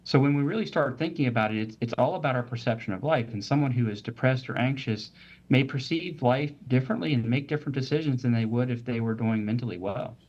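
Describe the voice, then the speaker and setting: A man speaking as a medical guest on a talk show, explaining mental health in a steady, methodical way with frequent "uh" and "um". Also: mid-range voice, fast, dull audio. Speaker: physician guest.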